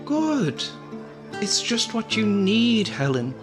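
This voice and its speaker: An actor in a radio play as a brisk, placating man who soothes and dismisses fears. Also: high-pitched, big pitch swings, music in the background, exaggerated voice. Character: reassuring, dismissive man calming a frightened woman.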